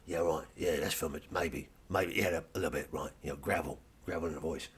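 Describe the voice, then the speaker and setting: A man voicing a gruff British soap hard man with gravel in his voice, who breaks off and grudgingly gives in. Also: rumbling low, fairly even intonation, fairly quick, voice pushed down, heavily acted.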